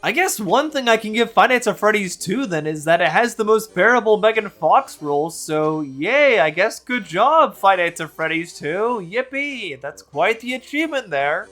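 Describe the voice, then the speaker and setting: A man's voice: high-pitched, fairly bright tone. A man delivering a scripted movie-review video essay with casual asides, in an opinionated, wry and sometimes sarcastic manner.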